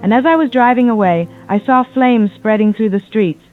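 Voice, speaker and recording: A woman's voice: a little high, moderate pace, lightly acted. A woman playing a shaken, grieving survivor calling in to a radio drama, grave and haunted. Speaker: caller. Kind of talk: radio drama phone-in account. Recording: thin tinny phone line, music behind.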